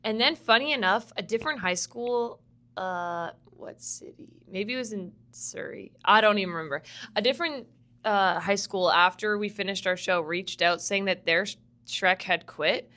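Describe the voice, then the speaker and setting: A woman's voice: medium pitch, normal speed, sharp tone. A young woman from Vancouver, a musical theatre student, chatting casually as a podcast guest, laughing often and filling her talk with "like". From Vancouver, Canada. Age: young adult. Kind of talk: casual interview chat.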